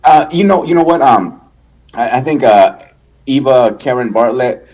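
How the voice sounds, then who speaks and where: A man from El Salvador, a guest in a casual interview, talking haltingly with many restarts and fillers and swearing freely. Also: mid-range voice, lively intonation, a little mellow, low-quality recording. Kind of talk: casual conversation.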